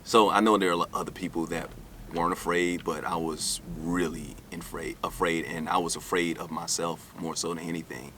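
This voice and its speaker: Low-voiced man telling his life story. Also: steady tone, moderate pace, sharp tone, a little hiss or hum. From United States, born in New York, raised in Alabama. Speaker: interview guest, author. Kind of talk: candid personal storytelling in an interview.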